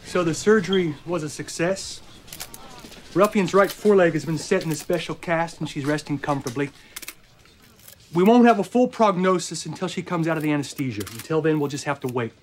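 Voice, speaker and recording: Actor as male film-drama surgeon, fairly high voice, formal and careful, some background noise. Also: fairly quick, natural voice. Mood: guarded, composed. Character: male veterinary surgeon, composed bearer of guarded news. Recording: film audio under commentary, background noise.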